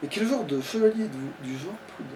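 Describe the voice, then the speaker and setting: A man's voice: on the high side, expressive, fairly quick, warm tone. A man playing a video game and chatting casually, swearing and talking back to the game. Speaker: let's play player. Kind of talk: casual gaming chat. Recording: distant from mic, background noise.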